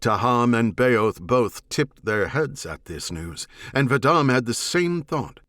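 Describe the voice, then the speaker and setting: A man narrating a science fiction novel as an audiobook, in a measured, serious, dramatic manner. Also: medium pitch, animated, fairly bright tone, no room sound, studio quality.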